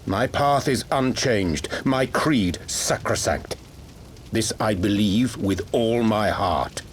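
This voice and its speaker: Slow, solemn scholar mystic. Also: male voice, lowish voice, a little hiss or hum. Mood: solemn, challenging. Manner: archaic, formal, measured oratory. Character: solemn male scholar mystic, grave, devout and courteous. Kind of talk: video game cutscene acting.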